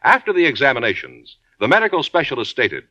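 A man delivers a 1950s radio advertisement in a bright, persuasive voice. His pitch is lively and swings freely. The recording is dry and muffled. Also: fast, no echo. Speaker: radio announcer.

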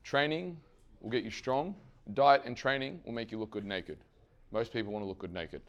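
A man losing his voice gives a lively fitness seminar to trainers and keeps asking the room questions. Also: medium pitch, moderate pace, dull audio. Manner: energetic, asks the audience questions and answers them himself; swears casually. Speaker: fitness coach presenting to trainers. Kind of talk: live seminar presentation.

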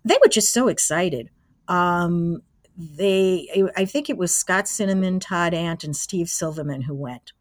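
A woman's voice: fairly low pitch, unhurried, dry recording, studio quality. An older woman casually reminiscing as a guest in an interview. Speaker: interview guest, former college radio engineer.

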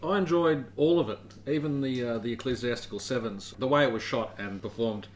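A man co-hosts a relaxed podcast in a mid-range voice. He is chatty and likes to tease the others. He laughs easily as he talks. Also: medium pitch, fairly quick, a little hiss or hum. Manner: chatty, teasing, laughs often. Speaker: podcast co-host. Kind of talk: casual chat.

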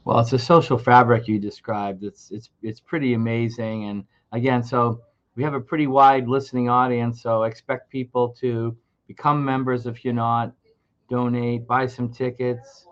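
A man's voice: medium pitch, level tone, normal speed. A man co-hosting a radio show, enthusiastic, often stumbling over repeated words. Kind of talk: radio interview. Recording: dull lo-fi radio audio.

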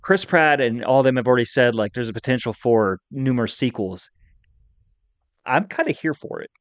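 Man, podcast co-host, casual chat, talkative and rambling, mid-pitched voice, dry close sound. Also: lively intonation, normal speed, dry recording.

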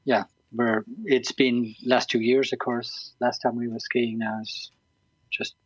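A man's voice: medium pitch, level tone, slow pace, husky, dry recording, dull audio. A man in his sixties with an Irish accent, an interview guest talking casually with many ums and restarts. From Ireland. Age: 60s. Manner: hesitant, lots of ums and restarts.

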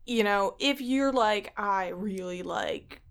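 Woman, podcast co-host, chatty, casual, acts out imagined players, medium pitch. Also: slow, clear, resonant tone. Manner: chatty, quotes imagined players, many fillers. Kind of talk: casual podcast chat about tabletop games.

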